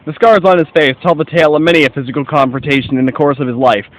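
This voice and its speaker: A man playing a brisk, formal, self-assured private detective in a radio mystery. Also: fairly high pitch, fairly even intonation, natural voice, poor audio. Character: brisk, observant American gentleman private detective. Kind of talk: radio mystery play acting.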